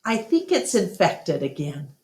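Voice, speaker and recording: A woman's voice: fairly low pitch, very animated, a little slow, a little mellow, roomy sound. A woman voicing a kindly, dutiful male animal keeper in a storybook, mild and deferential. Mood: hesitant.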